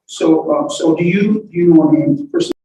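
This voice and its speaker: A man's voice: a little high, warm tone, a little hiss or hum. Echo-heavy, distant male lawyer questioning. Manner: halting, apologetic questioning. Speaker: lawyer questioning a witness in court. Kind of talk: courtroom cross-examination. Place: indoor courtroom. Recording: echoey courtroom, distant mic.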